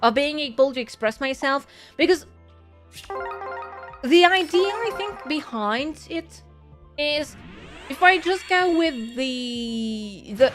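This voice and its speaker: A woman's voice: sits high, lively intonation, slow, a bit bright, faint music behind. A woman with a French accent, a native French speaker, chatting casually on a gaming stream in a musing, rambling way and correcting herself as she goes. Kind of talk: casual gaming livestream chat.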